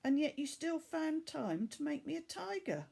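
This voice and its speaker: Woman reading as a gentle, curious schoolgirl, slightly high. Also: sing-song, normal speed, creaky, exaggerated voice. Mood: touched, grateful. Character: sympathetic, curious schoolgirl, the boy's friend.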